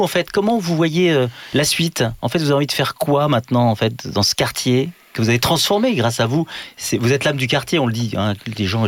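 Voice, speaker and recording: A man's voice: mid-range voice, no echo. A man working as a radio reporter, asking questions with emphatic admiration. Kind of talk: radio interview.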